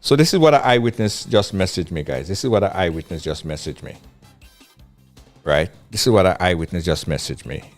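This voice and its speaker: A man's voice: low-pitched, lively intonation, a little fast, soft background music. A man hosting a call-in radio show, talking emphatically and repeating his points. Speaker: radio talk show host.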